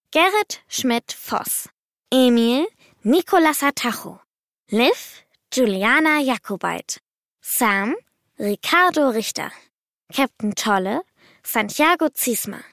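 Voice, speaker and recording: Slightly high, unhurried female announcer. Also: fairly bright tone, dry recording, clean studio sound. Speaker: credits announcer. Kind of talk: scripted credits read.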